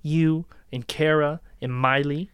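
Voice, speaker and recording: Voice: medium pitch, steady tone, slow, clean tone, no echo, lightly acted. Someone playing a menacing, vengeful ex-boyfriend, close and dry. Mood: accusing. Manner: accusing, quietly menacing. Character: vengeful, obsessive ex-boyfriend Josh, menacing young man. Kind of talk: dramatic reading of a dark story.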